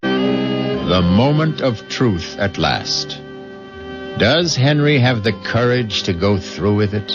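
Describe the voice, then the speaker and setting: Slow, deep, ominous male radio host. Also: sing-song. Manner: ominous, suspenseful. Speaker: radio drama host and narrator. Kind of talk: dramatic old-time radio narration. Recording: muffled old radio recording under music.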